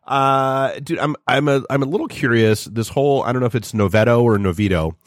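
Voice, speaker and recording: A man's voice: medium pitch, a little fast, no echo, studio quality. An American man co-hosting a tech talk show, chatting casually in an informal, amused way with some casual swearing. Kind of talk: casual chat.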